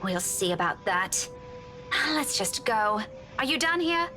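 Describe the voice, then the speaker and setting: A woman's voice: mid-range voice, very animated, takes it slowly, bright, forceful tone, heavily acted. An actress playing a sassy, outspoken young woman in a video game, blunt and sarcastic. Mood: exasperated. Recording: background music.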